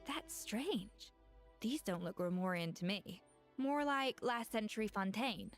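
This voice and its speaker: A woman voicing an inquisitive, matter-of-fact young female chef in a video game, crisp and analytical. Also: mid-range voice, expressive, measured pace, soft background music, close to an everyday voice. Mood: thoughtful.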